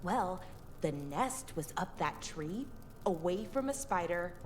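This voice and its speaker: An actress playing a gentle, earnest young elf woman in a video game, speaking sincerely and a little haltingly. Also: on the low side, expressive, a little hiss or hum. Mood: wistful fondness. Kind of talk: scripted video game acting.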